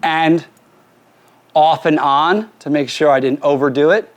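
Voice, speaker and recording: Male music producer, informal and directive, hands-on tutorial delivery, voice a bit high, faintly noisy drum studio sound. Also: unhurried. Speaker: music producer giving a mixing demonstration. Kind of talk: casual hands-on tutorial, giving instructions to crew. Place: recording studio with drum kit and PA.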